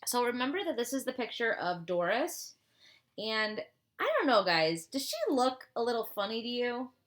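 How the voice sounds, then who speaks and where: Animated, bright-voiced woman reading to children. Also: fairly high pitch, moderate pace. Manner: expressive, chatty asides to young listeners. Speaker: teacher reading aloud to pupils. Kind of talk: animated children's storybook read-aloud.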